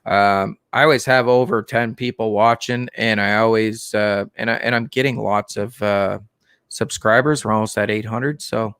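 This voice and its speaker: Gravelly monotone man live streaming. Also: on the low side, no echo, clean studio sound. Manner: plain, unhurried talk with many fillers and restarts. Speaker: aquarium hobby live streamer. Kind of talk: casual live stream chat.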